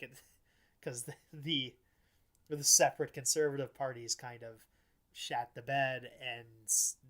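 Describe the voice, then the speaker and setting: Male podcast host, mid-range voice, loose and halting delivery, thinks aloud in informal political chat. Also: mid-range voice, sharp tone. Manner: rambling, halting, frequent "like", casual swearing. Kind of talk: casual political discussion.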